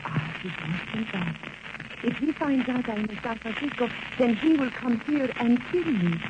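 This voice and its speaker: An actress playing a melodramatic, coy Mexican femme fatale with a Spanish accent in 1940s radio drama style. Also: female voice, fairly high pitch, lively intonation, normal speed, natural voice. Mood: coy, guarded. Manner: coy, theatrical, formal phrasing. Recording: dull, noisy old-time radio recording.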